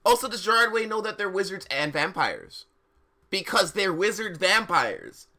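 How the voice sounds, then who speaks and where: A man live streaming, switching between dramatic reading and casual, slangy commentary, often halting, repeating words and sounding exasperated. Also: high voice, very animated, sharp tone.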